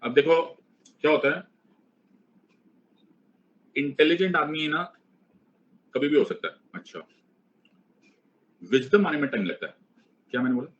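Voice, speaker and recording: Teasing Hindi-English teacher, dull audio. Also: male voice, fairly high pitch, expressive, measured pace, pauses often. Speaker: online exam-prep teacher. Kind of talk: live online class lecture.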